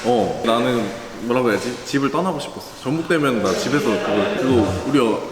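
A young male university student chatting casually, playful and quick to react. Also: medium pitch, animated, reverberant, noisy background. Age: young adult. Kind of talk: casual chat among friends.

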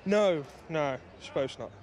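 An actor playing a cheeky, excitable young footballer in game cutscenes, chatty and matey. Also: male voice, a little high, slow pace, a little hiss or hum. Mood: teasing. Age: young adult. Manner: chatty, laughing, matey slang. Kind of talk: acted game cutscene dialogue.

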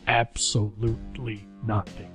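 A man's voice: lowish voice, flat delivery, unhurried, fairly bright tone, faint music behind. An actor playing a tired, reluctant sheriff and father in a radio play, terse and subdued. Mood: resigned. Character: exhausted, reluctant small-town sheriff, a father.

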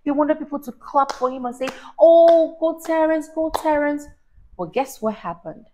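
A young woman reading a story aloud to a child, expressive and teacher-like, emphasising words and asking questions. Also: high-pitched, normal speed, a little hiss or hum, rough recording. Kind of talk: animated read-aloud to a child. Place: small indoor room, on a sofa. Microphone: clip-on lapel mic.

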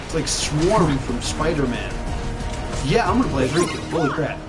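A man's voice: on the high side, animated, normal speed. A man streaming a video game with casual, joking commentary. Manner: wisecracking, casual. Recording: loud game music behind. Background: loud video game music.

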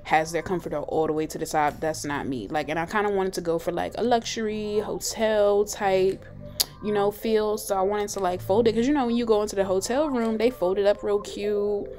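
A young woman vlogger talking casually over her video, relaxed and chatty with lots of fillers. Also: medium pitch, fairly quick. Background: clear background music.

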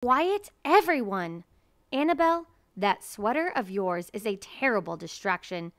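A woman voicing a stern, exasperated male schoolteacher from a children's storybook, scolding loudly and emphatically. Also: mid-range voice, sing-song, unhurried, dry recording, studio quality.

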